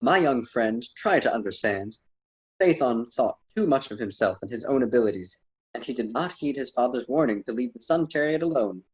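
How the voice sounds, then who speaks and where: A man's voice: medium pitch, animated, moderate pace, darkish tone, slightly rough, poor audio. A man playing a wise, wordy poet mentor with a formal, didactic manner in an amateur student radio drama. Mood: patient lecturing.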